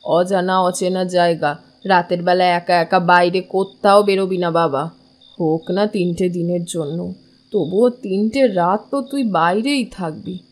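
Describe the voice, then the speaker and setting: A woman's voice: on the low side, natural voice. A woman playing an anxious, protective widowed village mother in an audio drama, pleading and worried. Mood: cautioning, stern.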